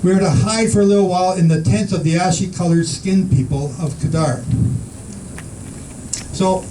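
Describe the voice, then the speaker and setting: High-voiced man teaching a Bible study. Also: moderate pace, mellow, distant mic, a little hiss or hum, low-quality recording. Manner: reads scripture aloud and questions the listeners. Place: room with a small group in the Philippines.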